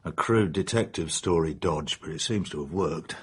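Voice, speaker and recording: An actor playing a blunt, wry, sceptical British police inspector in a radio drama, with informal, good-natured speech. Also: male voice, a bass voice, normal speed, slightly rough, exaggerated voice. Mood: wry, grudging.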